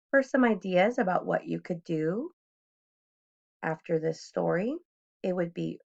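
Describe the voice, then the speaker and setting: A woman's voice: lowish voice, vocal fry. A woman teacher reading a storybook aloud expressively and addressing young listeners directly with questions. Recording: dull lo-fi sound.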